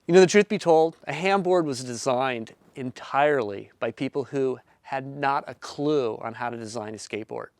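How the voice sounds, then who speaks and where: A man in his fifties with a smooth, resonant voice speaks to camera as a founder making his pitch. His delivery is earnest and explanatory, stretching out words for emphasis. The sound is dry and close. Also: dry recording. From Southern California. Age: 50s. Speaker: company founder and inventor. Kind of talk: pitch video talk to camera.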